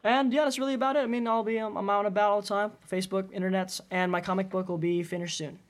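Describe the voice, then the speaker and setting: A man co-hosts a film podcast and chats casually. His delivery is quick, moving briskly through his thoughts in a conversational way. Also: high voice, steady tone. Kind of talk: casual chat.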